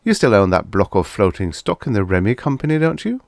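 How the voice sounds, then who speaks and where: A man performs a cheerfully callous businessman in an audiobook tale. His delivery is airy and commanding, lifted in pitch with lively swings, and the sound is dry and close. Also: brisk, vocal fry, no room sound. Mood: chummy, chirpy. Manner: chummy, airy, casually commanding. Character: smug, cheerfully callous scheming businessman narrator.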